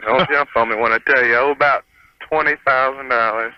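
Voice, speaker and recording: A man's voice: medium pitch, fairly even intonation, normal speed, no room sound. Sheepish young man on radio call-in. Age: 20s. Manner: hesitant, sheepish. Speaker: caller. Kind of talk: casual phone conversation. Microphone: telephone. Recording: dull lo-fi phone call on radio.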